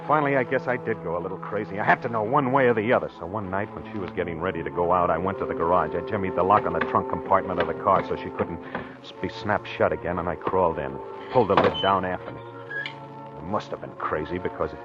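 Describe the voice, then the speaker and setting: An actor playing a haunted, obsessive husband in a halting, confessional 1940s radio drama style. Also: male voice, deep voice, natural voice. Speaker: actor narrating in character. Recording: muffled old 1940s radio recording.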